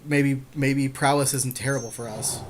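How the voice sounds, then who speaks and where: A man's voice: medium pitch, fairly even intonation, normal speed. A man co-hosting a podcast, chatting casually with his partner while drafting a card game. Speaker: podcast co-host.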